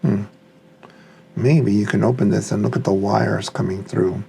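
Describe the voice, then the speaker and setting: A man's voice: on the low side, steady tone, unhurried, gravelly, dark tone, slight background noise. A man thinking aloud in a casual, unscripted, tentative way as he reviews a product. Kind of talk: casual unscripted unboxing commentary.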